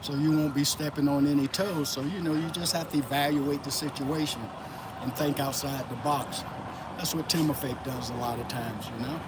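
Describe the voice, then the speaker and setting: A man's voice: a little high, steady tone, moderate pace. A man from Florida hosting a casual motivational livestream, talking loosely and greeting chat viewers by name. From Florida, USA. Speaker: livestream host. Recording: loud traffic noise outdoors. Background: loud traffic noise.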